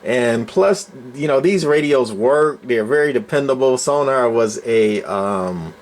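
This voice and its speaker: A man's voice: mid-range voice, lively intonation, a little slow. A middle-aged man casually showing off his collection, talking unscripted in a folksy, rambling way as he thinks aloud. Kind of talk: casual, unscripted show-and-tell narration.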